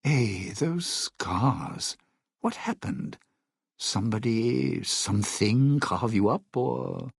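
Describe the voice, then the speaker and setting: Suave aged showman, video game character, slow delivery, swooping theatrical pitch, sardonic, vain, raspy. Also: animated, dry recording, studio quality, heavily acted. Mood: probing, casual. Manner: theatrical, sardonic showman talking in stage metaphors. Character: suave, bitter century-old lounge singer, vain and scheming.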